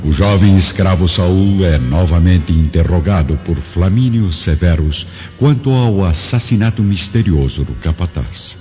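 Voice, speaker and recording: A man's voice: deep voice, lively intonation, unhurried, few pauses. A man narrating a radio drama from a script in a solemn, literary, dramatic style. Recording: dull lo-fi radio drama audio. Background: background music.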